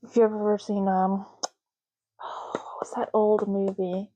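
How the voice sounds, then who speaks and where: A soft-spoken woman hosting an ASMR roleplay, talking in an unhurried, rambling way as if privately to the listener. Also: mid-range voice, level tone.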